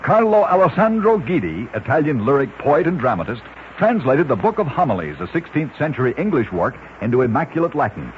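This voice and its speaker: A man reading strange-fact stories in a brisk scripted radio announcer style, from an old radio show recording. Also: mid-range voice, very animated, dull audio, slight background noise. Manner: brisk announcer delivery of odd facts.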